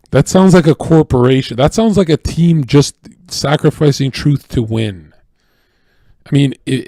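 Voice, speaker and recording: A tired man holds forth in a casual, profane monologue, preaching his views and stumbling over restarts. His voice is mellow and dark at a middle pitch. The sound is dry and close. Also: no room sound. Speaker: streamer.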